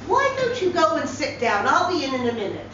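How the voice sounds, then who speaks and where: Elderly male suitor played by a woman, proud and emphatic, lively pitch swings, storybook reading in a very echoey room. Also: fairly quick, noisy background. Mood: courting. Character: elderly widower, thrifty, proud, eager suitor. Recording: very echoey room, distant mic, slightly dull.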